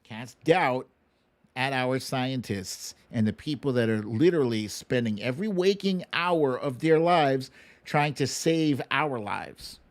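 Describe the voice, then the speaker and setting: A man's voice: medium pitch, lively intonation, a little slow. An American man hosting a live online talk show, chatting casually with viewers in an opinionated, emphatic, conversational way. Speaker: host of a livestream talk show.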